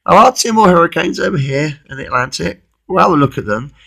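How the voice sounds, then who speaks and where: A man of thirty-one, losing his voice with a cold, gives an informal, halting weather forecast commentary and often repeats himself. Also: a little high, lively intonation, creaky, bright, forceful tone. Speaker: amateur weather vlogger.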